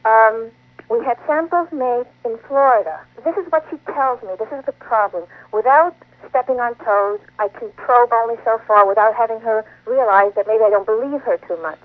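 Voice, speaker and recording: A woman's voice: a little high, normal speed, no echo. A woman calling an advice show by telephone, explaining a personal problem in a conversational way. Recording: narrow, tinny phone line on radio.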